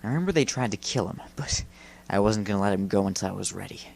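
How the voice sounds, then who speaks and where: Vengeful young male spirit for a storybook, played by a male reader: surly, bitter, brooding, quick to snap. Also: fairly low pitch, expressive, vocal fry, a little mellow. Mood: bitter, puzzled.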